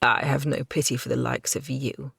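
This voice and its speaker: A reader voicing a cold, haughty noble knight who speaks formally and with disdain. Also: male voice, medium pitch, flat delivery, moderate pace, gravelly, fairly bright tone, slightly rough, dry recording, studio quality, full character voice. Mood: disdainful.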